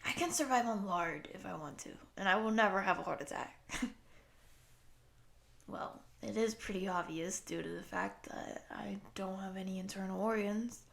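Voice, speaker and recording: A woman's voice: mid-range voice, moderate pace, vocal fry, natural voice. A woman voicing a goofy young female slime creature who chats casually and directly to the listener in an audio roleplay. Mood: enthusiastic, carefree. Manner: casual slangy chatter with giggles.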